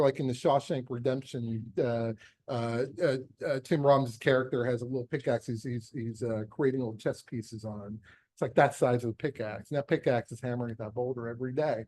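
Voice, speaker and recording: A man's voice: medium pitch, a little mellow. A middle-aged American man giving a conversational medical lecture, explaining emphatically and posing rhetorical questions. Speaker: physician lecturer, pediatric epilepsy surgeon.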